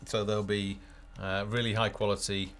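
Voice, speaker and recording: A man's voice: on the low side, level tone, moderate pace, a bit bright, slight background noise. A man hosting a casual game developer live stream, talking off the cuff in a hesitant, rambling way as he thinks aloud. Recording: music playing behind the voice.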